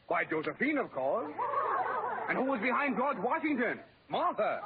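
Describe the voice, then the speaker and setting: High-pitched pompous lecturer, noisy tinny recording. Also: male voice. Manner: pompous, oratorical, flowery. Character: genteel, self-satisfied male lecturer to ladies' clubs. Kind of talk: 1940s radio comedy acting.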